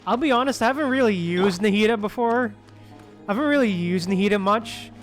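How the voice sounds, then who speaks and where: A man's voice: sits high, fairly quick, soft background music. A man giving casual gameplay commentary as a streamer, murmuring to himself and often chuckling. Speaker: streamer playing a game.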